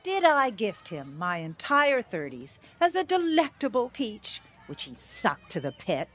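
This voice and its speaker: A woman's voice: medium pitch, wildly swooping pitch, measured pace, fairly bright tone. An actress playing a bitter middle-aged small-town teacher and mother, giving wry, theatrical testimony in an audio drama.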